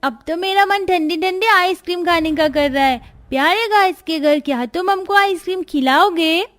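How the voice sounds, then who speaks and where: A woman's voice: very high-pitched, moderate pace, fairly bright tone, faint music behind. A woman voicing an innocent, wide-eyed young orphan sister in a cartoon story. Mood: delighted.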